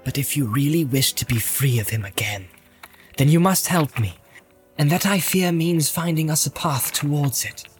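A man's voice: fairly high pitch, expressive, normal speed, soft background music, voice pushed up, natural voice. A man voicing an earnest, weary young hobbit leader in an audiobook, speaking firmly and insistently. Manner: firm and insistent. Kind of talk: audiobook character voice.

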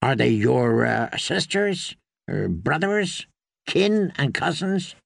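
A man's voice: mid-range voice, big pitch swings, takes it slowly, gravelly, no room sound, studio quality, exaggerated voice. A man voicing a courteous, earnest warrior woman in a storybook, with a formal manner. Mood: hesitant.

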